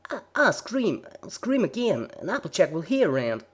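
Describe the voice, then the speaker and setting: A man's voice: high voice, big pitch swings, normal speed, breathy edge, voice pushed up, full character voice. A man voicing a frightened little filly who stammers and falters in a story reading. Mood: terrified.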